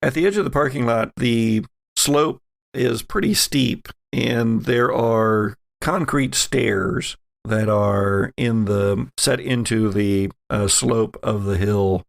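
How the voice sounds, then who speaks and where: A man narrating a tabletop horror game as game master, setting scenes in a halting, deliberate way. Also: medium pitch, gravelly, no room sound.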